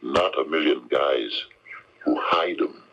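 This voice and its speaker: An actor playing a methodical detective who questions in a measured, hesitant, understated way in a 1970s radio drama. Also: male voice, very deep voice, bright, forceful tone, slight background noise, full character voice. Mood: pressing. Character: methodical, quietly probing male detective. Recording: dull 1979 AM radio recording.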